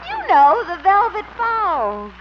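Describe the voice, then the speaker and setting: High-pitched, coy radio sweetheart. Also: female voice, sing-song, slow, exaggerated voice. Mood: flirty, gushing. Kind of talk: 1950s radio situation comedy acting. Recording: muffled, noisy old radio recording.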